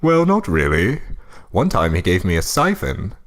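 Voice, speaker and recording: A man's voice: fairly low pitch, wildly swooping pitch, normal speed. A man playing a snooty, mildly befuddled older husband in a comic reading, hesitant and meandering in his delivery. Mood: musing. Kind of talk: comic in-character banter and story reading.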